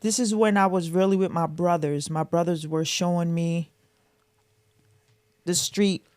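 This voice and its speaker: A woman's voice: on the low side, fairly even intonation, a little slow, a little mellow, dry recording. Candid woman reminiscing in a dry room. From Boston area, Massachusetts. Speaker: interview guest, mother. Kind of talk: casual personal reminiscence.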